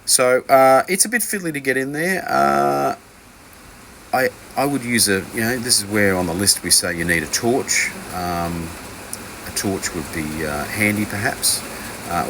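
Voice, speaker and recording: A man's voice: low-pitched, background noise. A man giving an informal, unscripted hands-on tutorial as an instructor, hesitant and rambling, with dry, offhand asides. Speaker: instructor from a loudspeaker kit maker.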